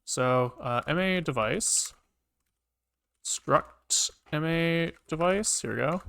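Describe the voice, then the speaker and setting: A man casually live-coding on a stream, thinking aloud in halting, muttered bits as he works. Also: a little high, fairly bright tone, clean tone. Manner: halting, muttering to himself while working. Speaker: programming livestreamer.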